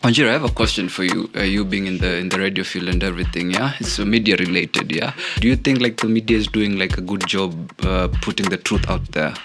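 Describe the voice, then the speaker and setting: A man's voice: sits low, fast, few pauses, background noise. A man casually putting questions in a discussion, informal and checking in as he goes. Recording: music playing under speech. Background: music.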